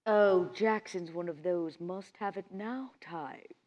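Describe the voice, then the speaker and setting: A woman's voice: on the low side, slow pace, dull audio. A woman voicing a shrewd, commanding female vampire with a theatrical, coaxing manner in a tabletop game. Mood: sly, scheming. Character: shrewd, commanding, sly female vampire gang schemer named Vera.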